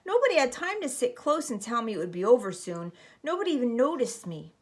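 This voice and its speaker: A woman's voice: on the high side, sing-song, brisk. A woman speaking like a teacher at story time, explaining and narrating in an instructive, step-by-step way. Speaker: teacher reading a storybook and showing a craft. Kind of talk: read-aloud storytelling and casual how-to demonstration.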